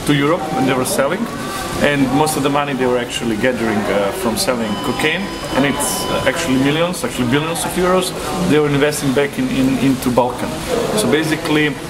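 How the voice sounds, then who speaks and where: A man's voice: medium pitch, fast, over a noisy crowd, low-quality recording. A man in his thirties, a reporter from Serbia, explaining his work and often saying "actually". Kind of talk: interview answers.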